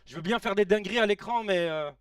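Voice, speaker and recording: Man, late thirties, stream host, excitable, slangy, high voice, dry room. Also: quick pace, clear, resonant tone, no room sound, distant mic. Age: 30s. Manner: excitable, slangy, shouts at players. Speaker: live-stream watch-along host. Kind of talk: casual live-stream chat and match reactions.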